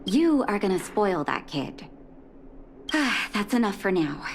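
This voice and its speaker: A woman's voice: medium pitch, very animated, slow, slight background noise. A woman playing a stern but caring clinic doctor in a video game, speaking in a firm, motherly, scolding manner. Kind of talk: dramatic game cutscene dialogue.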